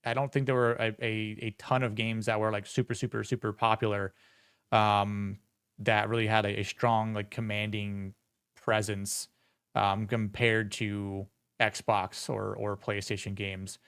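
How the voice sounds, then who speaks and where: Male streamer host, low smooth voice, loose rambling chat full of "um" and "like", dry close mic. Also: flat delivery, a little slow, a bit bright, dry recording. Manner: rambling, many fillers and restarts. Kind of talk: casual livestream chat.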